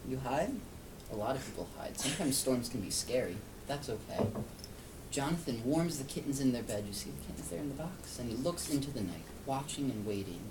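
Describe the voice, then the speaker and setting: A man's voice: mid-range voice, expressive, a little fast. A man reading a picture book aloud to a young child, explaining and asking simple questions as he goes. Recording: distant mic, clear background noise.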